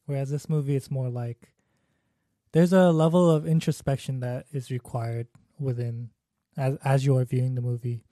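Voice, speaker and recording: A man's voice: mid-range voice, fairly even intonation, moderate pace, dark tone, no room sound, clean studio sound. A man talking through his thoughts on a film in a casual discussion, thoughtful and hesitant with many fillers.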